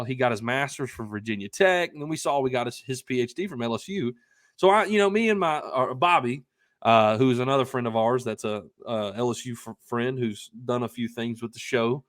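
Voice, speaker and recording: A young man co-hosting a podcast, telling a casual anecdote in a rambling, emphatic, excitable way. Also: medium pitch, fairly quick, no echo. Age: young adult. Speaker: podcast co-host telling a story.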